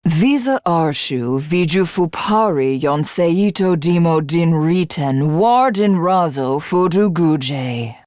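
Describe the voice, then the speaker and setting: A woman's voice: low-pitched, lively intonation, takes it slowly, hardly any pauses, a little mellow, no echo, studio quality. A woman voicing a megalomaniac alien warrior from a video game, haughty and theatrical, who devours rivals to become a god. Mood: haughty, alien speech. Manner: haughty, grandiose, theatrical, self-satisfied. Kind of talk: video game character voice acting.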